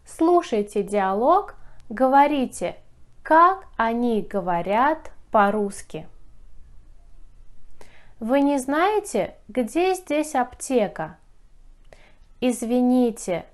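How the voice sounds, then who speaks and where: Slow, clear-spoken female Russian teacher. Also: fairly high pitch, lively intonation. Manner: careful teacherly diction, repeating phrases for learners. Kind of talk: slow, clearly enunciated language lesson.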